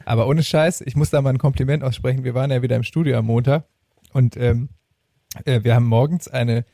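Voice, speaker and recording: A man's voice: medium pitch, steady tone, quick pace, vocal fry, warm tone, no room sound, studio quality. A man co-hosting a podcast, talking in a casual, anecdotal conversational way. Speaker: podcast co-host, musician. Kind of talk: casual chat, telling jokes.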